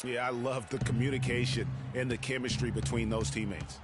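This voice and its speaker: A man's voice: mid-range voice, steady tone, fairly quick, very noisy, lightly acted. A man playing a candid, appreciative TV basketball analyst in a video game, commenting in emphatic, conversational phrases. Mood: admiring. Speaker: color analyst.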